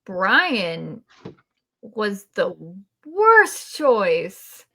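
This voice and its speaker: A woman's voice: medium pitch, big pitch swings, extremely slow, sharp tone. A woman co-hosting a review podcast in casual discussion, blunt and opinionated.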